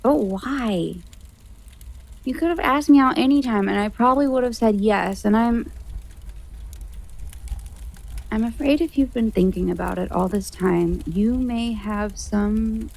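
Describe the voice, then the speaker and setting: An actress playing a wry, awkward young American woman adventurer in a fantasy audio drama, with an American accent and a creaky voice. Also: on the high side, animated, measured pace, darkish tone, exaggerated voice, poor audio. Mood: anxious, hesitant. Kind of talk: naturalistic audio drama acting.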